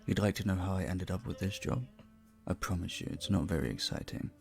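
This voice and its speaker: Low, gravelly, charming vampire bartender played by a man. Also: a little fast, soft background music. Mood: modest, conversational. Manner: courteous, soft-spoken, slightly formal, teasing. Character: charming, polite male vampire bartender, flirtatious and modest. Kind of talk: audio roleplay, intimate one-sided dialogue.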